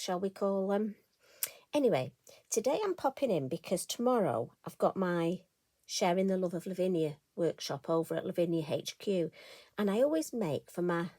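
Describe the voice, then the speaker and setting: A woman with a croaky voice, chatting homely and rambling as she demonstrates a craft project. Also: on the low side. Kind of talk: casual crafting tutorial chat.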